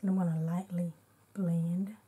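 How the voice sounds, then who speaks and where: Slightly hoarse, congested woman chatting casually. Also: on the low side, flat delivery, measured pace, warm tone. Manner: narrates each step as she goes. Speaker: beauty vlogger. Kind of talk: casual unedited makeup tutorial chat.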